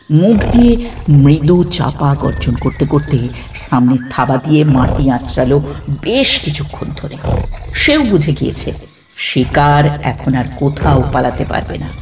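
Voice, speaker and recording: A man narrating a horror story dramatically, building suspense with pauses between short phrases. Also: medium pitch, far from the mic, slight background noise.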